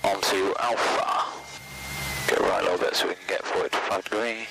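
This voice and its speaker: Low-voiced man muttering cockpit checks over noise. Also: steady tone, moderate pace, creaky. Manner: clipped procedural muttering, thinking aloud. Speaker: private pilot. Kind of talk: cockpit checklist talk while flying. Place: small aircraft cockpit. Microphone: aviation headset. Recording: loud light-aircraft engine and cockpit noise.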